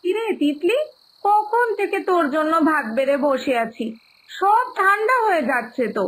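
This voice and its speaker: A woman's voice: very high-pitched, animated, normal speed, a bit bright, low-quality recording. A woman voicing a doting, hard-working village mother in a cartoon moral story, with a coaxing, explanatory manner that repeats phrases. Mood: mild scolding.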